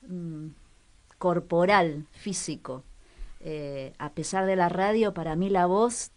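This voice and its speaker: A young Argentine woman with a fairly low voice co-hosts a relaxed radio chat. She speaks in a musing way and pauses to search for the right word. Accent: Argentine Spanish. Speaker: radio show co-host.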